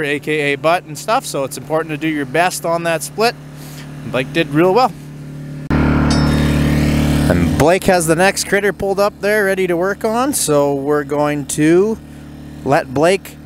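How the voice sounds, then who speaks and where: A man's voice: high voice, a little hiss or hum. A man hosting a demonstration, giving easygoing, folksy commentary outdoors on a farm on a cold day. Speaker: host.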